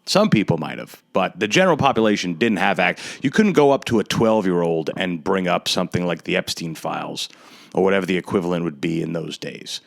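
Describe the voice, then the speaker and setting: Deep-voiced young man ranting sardonically. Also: lively intonation, gravelly, no echo, studio quality. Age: 20s. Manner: sardonic, ranting, drawn-out emphatic delivery. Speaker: podcast host. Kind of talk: solo podcast rant.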